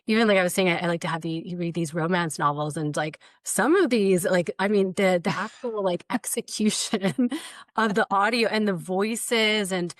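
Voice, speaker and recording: A woman's voice: mid-range voice, moderate pace, no room sound, clean studio sound. A woman moderating a panel discussion, reading out audience questions and chatting loosely with a lot of filler words. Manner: chatty, uses many "like" and "you know" fillers.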